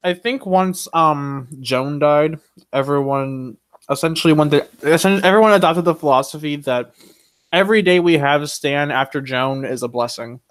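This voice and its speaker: A man's voice: on the high side, fairly bright tone, no room sound. Opinionated young man, dry close sound. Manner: opinionated, quick bursts with frequent restarts. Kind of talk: casual chat.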